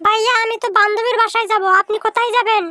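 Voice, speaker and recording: A woman's voice: extremely high pitch, flat delivery, very fast, pushed and bright, no echo, studio quality. A woman voicing a polite, dutiful young village woman in a cartoon. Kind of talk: cartoon dialogue.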